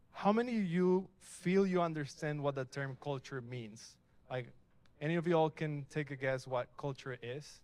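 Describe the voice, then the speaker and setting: A young adult man from Mexico gives a casual, upbeat talk at a live tech meetup, asking the audience questions as he goes. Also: a little high. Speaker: meetup speaker. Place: event space with a live audience.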